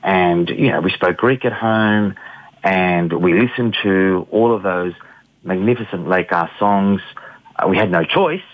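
A man's voice: deep voice, measured pace, phone-quality sound. A middle-aged man from Australia, an artist giving an interview, speaking reflectively with many ums and restarts. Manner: hesitant, many fillers and restarts.

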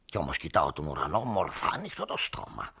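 Creaky, excitable old man. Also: extremely low pitch, full character voice. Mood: amazed. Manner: exclaiming, repeating words in amazement. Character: grumbling, gawping old man, comic fearful wanderer. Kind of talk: theatrical comedy acting. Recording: music loud behind the voice.

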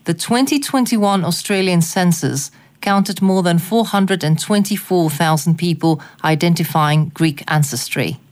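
Measured, lower-pitched woman interviewer. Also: darkish tone. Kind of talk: scripted, formal interview narration.

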